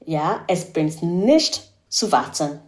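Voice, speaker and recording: A woman who is a native English speaker, speaking accented German haltingly and searching for words as an interview guest. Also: on the low side, animated. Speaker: podcast guest, financial adviser. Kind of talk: interview answers in a second language.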